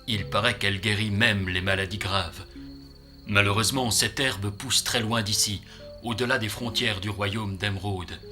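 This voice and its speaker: A solemn cartoon doctor, fairly low in pitch with a very bright, projected tone. He explains gravely and with care, over faint music. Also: fairly even intonation, normal speed. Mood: solemn, explaining. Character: grave, caring country doctor. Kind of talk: dubbed children's cartoon acting.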